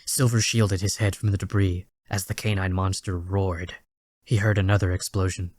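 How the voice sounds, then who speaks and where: A man reads dramatic fiction as its narrator. His voice is low and stays even, with measured literary phrasing, in a close, dry recording. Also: dry recording. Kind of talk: scripted dramatic story narration.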